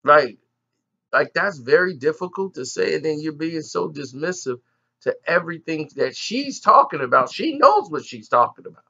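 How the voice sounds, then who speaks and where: A man's voice: on the high side, expressive, moderate pace, bright, forceful tone, highs cut off. A man giving indignant, emphatic commentary on an interview clip. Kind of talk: reaction commentary.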